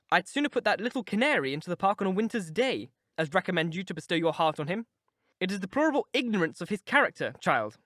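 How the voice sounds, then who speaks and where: A proud young married woman lectures with cutting scorn, as a male reader puts her on. Her words come emphatic and animated, set a little above the reader's own tone. The recording is dry. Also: high-pitched, dry recording.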